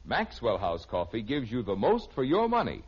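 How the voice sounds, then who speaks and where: Very animated, husky American radio pitchman. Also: moderate pace. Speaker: commercial announcer. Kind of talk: 1950s radio advertising pitch, folksy and persuasive. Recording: muffled old radio recording.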